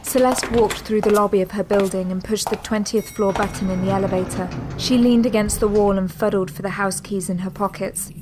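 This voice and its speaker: A woman narrator reading a scripted audio drama in a measured storytelling manner. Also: medium pitch, fairly even intonation. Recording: clear background ambience noise.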